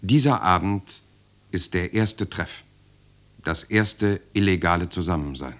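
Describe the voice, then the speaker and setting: An actor playing a German writer who recounts his years in the resistance in a measured, reflective way. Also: sits low, a bit bright. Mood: sober, reflective. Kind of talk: first-person radio drama narration.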